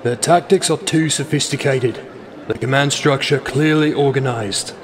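Measured, curt military marshal played by a man. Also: mid-range voice, noisy background, natural voice. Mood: measured, guarded. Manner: measured, formal, deflecting. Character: evasive, curt military marshal facing the press. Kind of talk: science fiction audio drama acting.

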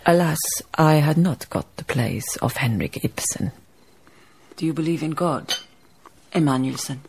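An actress in a radio drama playing a dignified, thoughtful baroness, telling her tale in measured literary phrases. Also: low-pitched, expressive, gravelly, background noise, exaggerated voice. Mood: reflective. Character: reflective, dignified baroness, storytelling colonial farm owner. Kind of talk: radio drama acting and narration.

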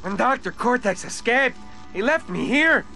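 Manic cyborg henchman, scratchy and creaky, wildly swooping, melodramatic, childish, cartoon game. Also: animated, moderate pace, pushed and bright, faint music behind, exaggerated voice. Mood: gloating. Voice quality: shrill, scratchy. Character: manic, whiny, megalomaniac little cyborg henchman. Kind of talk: cartoon video game acting.

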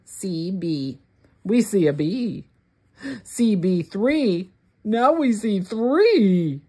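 A woman reading a rhyming picture book aloud for young children, word by word, with deliberate expression. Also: medium pitch, slightly rough. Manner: deliberate, word-by-word, expressive delivery for early readers. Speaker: children's read-along host.

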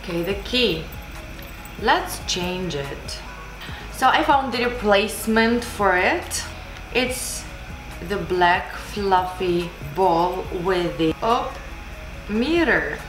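A young woman vlogger, speaking English as a second language, chats casually at a slow pace. Her voice has a roomy echo, as if recorded indoors a little way from the camera, and music plays clearly behind her. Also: mid-range voice, lively intonation. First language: not English. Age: 20s. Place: small room indoors, seated at desk. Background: background music.

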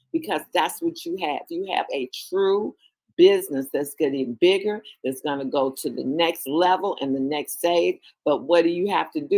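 A husky-voiced woman with a lowish pitch speaks on a video call to her sales team. She coaches with urgency, firing off question after question. The tone is motivational. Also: darkish tone, low-quality recording. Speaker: sales leader addressing a team.

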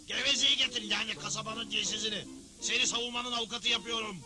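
A man's voice: extremely high pitch, fairly even intonation, fast talker, over music. A man playing a pompous, greedy old frontier judge in a dubbed cartoon, declaiming officiously. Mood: officious, brazen. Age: older.

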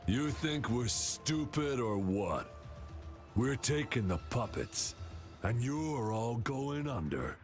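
An actor playing a menacing, curt and commanding gang enforcer in a video game. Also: male voice, medium pitch, big pitch swings, slow pace, soft background music. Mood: cold threat.

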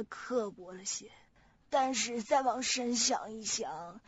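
An elderly mother in a radio thriller. The voice is very hoarse and slow, sighing and hesitant, on a muffled recording. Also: on the high side. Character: elderly, sighing, forgiving mother, easily frightened. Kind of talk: suspense radio drama acting. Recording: dull lo-fi audio.

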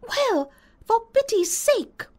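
A man putting on a very high falsetto country mother. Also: sing-song, slow pace, darkish tone, full character voice. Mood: exasperated, anxious. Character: anxious, exasperated country mother, shrill. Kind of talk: acted audiobook dialogue.

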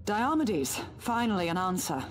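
An actress playing a stern, formal inquisitor in a video game. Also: female voice, mid-range voice, very animated, normal speed, a little hiss or hum, lightly acted. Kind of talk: video game character acting.

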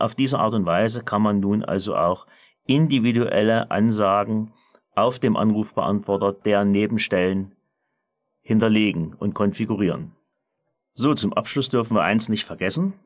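A man presenting a software screencast tutorial, explaining methodically step by step in a semi-spontaneous walkthrough. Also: fairly low pitch, moderate pace.

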